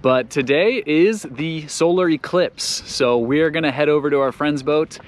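An upbeat man giving casual, excited narration for a sailing vlog. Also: fairly high pitch, unhurried. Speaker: vlogger narrating a family sailing trip.

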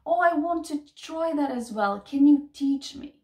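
A woman's voice: sits high, expressive, unhurried, dark tone. A woman in her thirties, not a native English speaker, giving an emphatic, repetitive motivational talk to camera in a bedroom. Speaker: self-help vlogger. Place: small bedroom, indoors. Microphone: camera a few feet away. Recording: echoey room, distant microphone.

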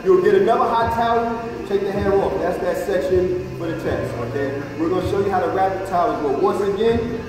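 A man's voice: high voice, a little fast, distant mic, over music. A man teaching barber students, counting off each razor stroke while demonstrating a shave on a client in a barbershop classroom. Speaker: barber instructor. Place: large barbershop classroom, indoors. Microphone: phone camera held by a student a few meters away. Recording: roomy echoey phone audio from across the room.

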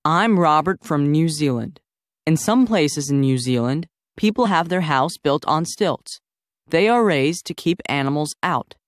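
A performer voicing a calm young boy describing houses on stilts, reading in a steady, plain way. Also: medium pitch, measured pace, dry recording, clean studio sound, close to an everyday voice. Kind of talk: scripted textbook narration.

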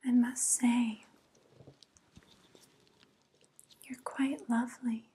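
A young woman playing a softly coaxing evil witch in an ASMR roleplay, whispering slowly and gently, close to the microphone. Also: a little high. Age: young adult. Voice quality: breathy whisper. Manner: slow, gentle, flattering, falsely kind. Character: soft, coaxing evil witch luring a guest.

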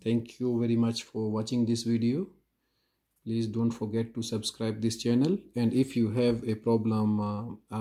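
Man, tutorial host, dark mellow tone, flat delivery, unhurried step-by-step instructions. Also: fairly low pitch. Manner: slow, plain and instructive, with frequent "uh" pauses. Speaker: phone repair tutorial host.

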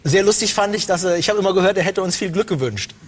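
A man chatting as a guest on a talk show, joking and halting with fillers. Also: high-pitched, background noise.

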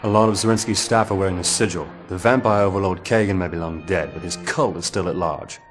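An actor playing a cool, wry secret agent in a video game, dry, businesslike and understated. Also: male voice, sits low, fast talker, natural voice. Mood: wry, cautioning. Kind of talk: video game cutscene acting. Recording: game audio with clear music behind.

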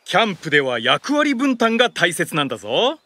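A man's voice: high voice, wildly swooping pitch, brisk, noisy background. A man voicing an easygoing, enthusiastic young father in a cartoon, explaining things in a breezy, fatherly way. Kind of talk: Japanese animated cartoon acting. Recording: music behind the voice.

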